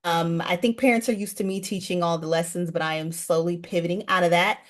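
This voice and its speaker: Lowish-voiced woman chatting earnestly. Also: fairly even intonation, brisk. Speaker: language program founder, guest. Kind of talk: casual interview chat.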